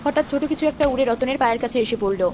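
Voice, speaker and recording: A woman's voice: high voice, very fast, heavy background noise. A woman narrating a children's fairy tale from a script. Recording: music under the voice.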